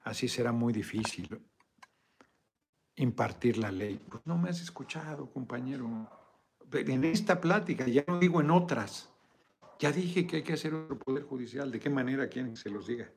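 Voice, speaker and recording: A man's voice: mid-range voice, animated, normal speed, creaky. A middle-aged man hosting a casual political video chat, answering viewers in an emphatic, insistent way. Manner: emphatic, argumentative, repeats points insistently.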